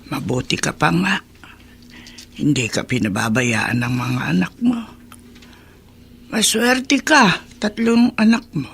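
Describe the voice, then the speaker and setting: Creaky, raspy old Filipino woman. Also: low-pitched, lively intonation, slow pace, long pauses, a bit bright, full character voice. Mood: wistful. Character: elderly woman, lonely, wistful confidante of an old man. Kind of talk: Filipino radio drama acting.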